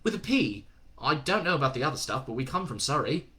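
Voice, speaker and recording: A man's voice: medium pitch, sing-song, fairly quick, sharp tone. A man voicing a timid, polite young man who speaks tentatively and stammers. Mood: hesitant.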